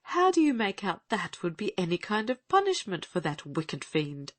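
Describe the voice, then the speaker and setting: A woman voicing an outspoken, indignant older housekeeper in a storybook reading, lower than her narrating voice. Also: wildly swooping pitch, normal speed, fairly bright tone.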